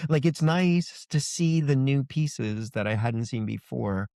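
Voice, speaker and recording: A man's voice: medium pitch, steady tone, slow pace, gravelly, a little mellow, no room sound, studio quality. A man being interviewed as an author, explaining things in an informal, loosely phrased, conversational way.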